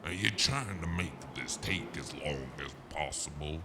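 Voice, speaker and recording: An actor playing a calm, businesslike underworld henchman in a noir audio drama, measured and faintly menacing. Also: a bass voice, a little hiss or hum, exaggerated voice. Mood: impatient.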